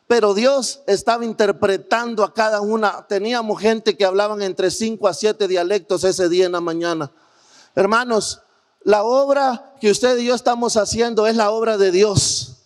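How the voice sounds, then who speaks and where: Male preacher, very high voice, earnest missionary sermon with rhetorical questions, long church-hall reverb. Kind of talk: sermon with personal missionary testimony.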